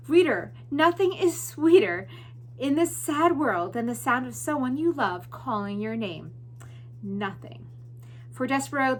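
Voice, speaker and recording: A woman teacher giving class announcements and reading a story aloud with deliberate, expressive emphasis. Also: on the high side, slight background noise. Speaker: elementary school teacher.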